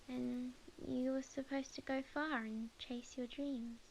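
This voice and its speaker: A young witch in love, played by a woman with an Australian accent. Her voice is fairly high and softly intimate, in the manner of an ASMR romance roleplay. She speaks earnestly and tenderly, with hesitations and phrases that sink toward a whisper. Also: creaky, slight background noise, low-quality recording. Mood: surprised, hesitant. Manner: soft, hesitant, sometimes dropping to a whisper. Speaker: ASMR audio roleplay performer.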